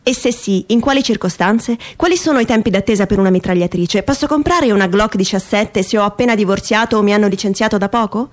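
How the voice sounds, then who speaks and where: Woman, stage performer, medium-pitched voice, wry and mock-earnest, expressive reading of a humorous monologue. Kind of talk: theatrical reading of a comic first-person essay.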